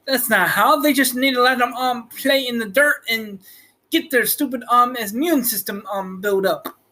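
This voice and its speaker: A man voicing a silly, cranky pet animal in a halting, playful chat. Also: very high-pitched, pushed and bright. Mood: chatty, grumbling.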